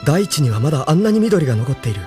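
Earnest young pilot, animated pitch. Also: male voice, fast, faint music behind. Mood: reflective, earnest. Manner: dramatic, thinking aloud. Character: earnest young male mecha pilot, brave and idealistic. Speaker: voice actor in radio drama. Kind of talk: anime-style audio drama acting.

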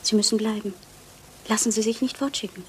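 A woman plays a young wife in a radio crime play, anxious and insistent. Her voice is very high and urgent. The old recording carries faint noise. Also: fairly even intonation, brisk, lightly acted, low-quality recording. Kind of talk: German radio crime drama acting.

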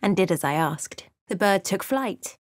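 Woman acting a thoughtful fantasy game heroine telling her story, measured, literary, no room sound at all. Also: mid-range voice, animated, no echo, studio quality, natural voice. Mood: reflective. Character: watchful, introspective female fantasy adventurer recounting events. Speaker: video game character. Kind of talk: first-person past-tense scripted game narration.

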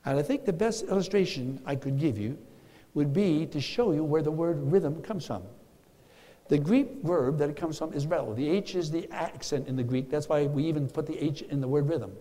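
A man's voice: fairly high pitch, moderate pace, dark tone. An older man teaching a congregation about church music from a lectern, earnest and emphatic with dry humor. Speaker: guest speaker on church music. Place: large church sanctuary. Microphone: lectern mic. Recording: long church hall reverb.

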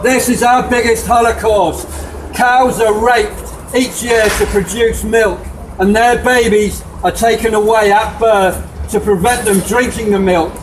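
A man's voice: high-pitched, steady tone, a little slow. A man giving an animal rights protest speech and chanting slogans in an emphatic, accusatory way. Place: shop doorway at a street protest. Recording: distant, echoey, very noisy street recording.

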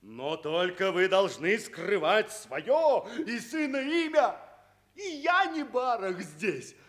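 Frantic high-pitched scolding husband. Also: male voice, big pitch swings, slow, a bit bright, full character voice. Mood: urgent, reassuring. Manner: exclamatory, declamatory. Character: agitated husband, frantic, scolding servant-like man of fairy-tale. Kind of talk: theatrical radio play acting.

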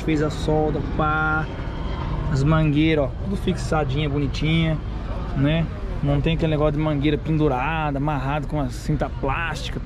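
Chatty man outdoors at a noisy fair. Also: on the high side. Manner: chatty, with frequent tag questions and restarts. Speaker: channel host reviewing farm machinery. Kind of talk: casual walkaround presentation. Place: outdoors at a farm machinery fair.

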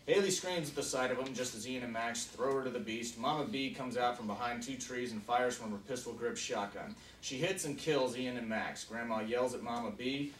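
A man's voice: medium pitch, moderate pace, few pauses, a bit bright. A man speaking as a film director at a table read, informal, reading stage directions and explaining shoots. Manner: informal, peppered with "you know" and "like". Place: small indoor conference room. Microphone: camera mic across the table. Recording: echoey meeting room, distant mic, faint noise.

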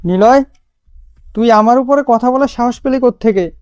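A man plays an angry middle-aged father in an audio love story. His voice is crisp and clean in a dry recording. He is domineering and stern, never bending. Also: extremely high pitch, moderate pace, a little mellow, no echo. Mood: threatening.

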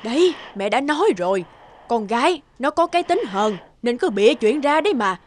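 A woman's voice: fairly high pitch, very animated, moderate pace, a little hiss or hum. Grumbling old grandmother. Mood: scolding. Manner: grumbling, scolding. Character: scolding, dismissive old grandmother. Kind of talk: cartoon voice acting.

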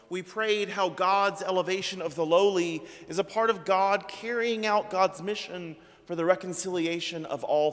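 A man in his thirties preaching a sermon from a church pulpit, measured and deliberate, his phrases trailing off low. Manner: slow, measured preaching with trailing drops in pitch. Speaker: priest. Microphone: pulpit microphone. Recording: long church reverb.

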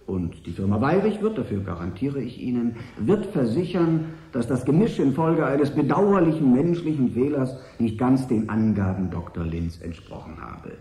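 A man acting as a calculating corporate envoy in a German radio play, speaking with smooth, insinuating deliberation. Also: mid-range voice, expressive, moderate pace, mellow, roomy sound, lightly acted, rough recording. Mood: coolly persuasive. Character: calculating corporate envoy offering a sinister bribe.

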